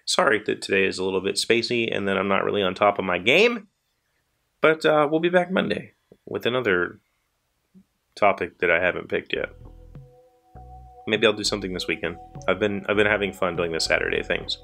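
Male host, forties, American, lowish voice. Casual, rambling, self-deprecating chat straight to viewers. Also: brisk, creaky, long pauses, bright, forceful tone, soft background music. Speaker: host of a daily video show. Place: small indoor workshop crowded with tools.

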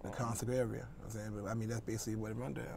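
A man's voice: on the low side, flat delivery, very fast, vocal fry, darkish tone, a little hiss or hum. A middle-aged man hosting a casual studio talk show, repeating and rephrasing himself as he goes. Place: small TV studio with curtains. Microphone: lapel mic.

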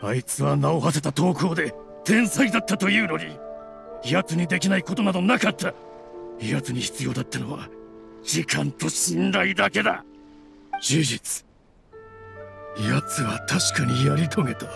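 Creaky, menacing cursed sword spirit. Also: male voice, a little high, animated. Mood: bitter, proud. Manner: slow, menacing, haughty. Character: vengeful sentient cursed sword possessing a man, proud, bitter. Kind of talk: dramatic Japanese game voice acting. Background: music.

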